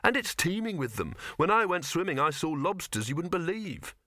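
A man's voice: fairly high pitch, sing-song, normal speed, sharp tone, no echo, studio quality, voice pushed up, heavily acted. A man voicing an awkward, eager young man who speaks hesitantly and earnestly.

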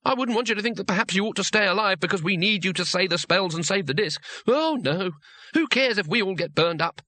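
A man's voice: a little high, expressive, normal speed, sharp tone, voice pushed up. A man voicing an earnest, cheerfully tactless tourist who speaks in an eager, chirpy way. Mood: goading. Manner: eager, chirpy, matter-of-fact.

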